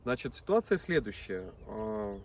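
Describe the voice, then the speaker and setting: Low lively guy rambling thru an intro outside, mic kinda far off, faint rural noise. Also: measured pace. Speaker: auto mechanic, channel host. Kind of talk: casual video intro.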